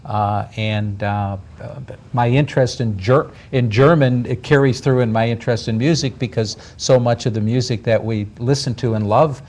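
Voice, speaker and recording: A man's voice: medium pitch, steady tone, moderate pace, a little mellow. A man talking in a casual talk show, hesitant, with many stammers and restarts.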